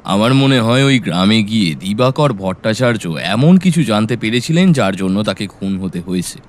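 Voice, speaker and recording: A man playing a calm, analytical private detective in an audio story, reasoning and questioning in a measured way. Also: lowish voice, natural voice. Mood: assured.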